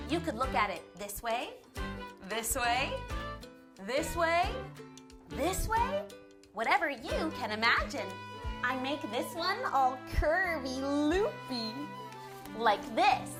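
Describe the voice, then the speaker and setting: A woman hosting a children's show, giving simple, encouraging instructions in an expressive way. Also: high voice. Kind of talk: instructional kids' show presenting.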